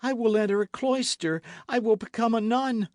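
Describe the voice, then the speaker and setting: A man voicing a desperate condemned young woman who shrieks defiance and pleads for her life, high and strained. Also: measured pace, no room sound, studio quality, lightly acted. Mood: pleading. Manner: shrill, cries out in high strained pleas.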